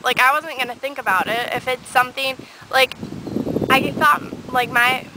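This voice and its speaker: A woman's voice: high voice, fairly even intonation, moderate pace. A nineteen-year-old woman vlogging outdoors, chatting casually with lots of fillers and rambling asides. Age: late teens. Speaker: beauty vlogger. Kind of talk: casual chat to camera. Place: outdoors on a windy day. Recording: loud wind noise outdoors. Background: wind noise and passing vehicles.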